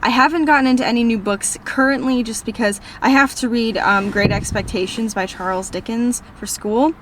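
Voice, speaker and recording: A woman's voice: on the high side, moderate pace, fairly bright tone, slight background noise, low-quality recording. A teenage girl from Minnesota with a northern accent, rambling cheerfully through viewer questions in a casual vlog from her car. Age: teens. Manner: bubbly, rambling, self-deprecating. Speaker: book vlogger. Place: in a parked car.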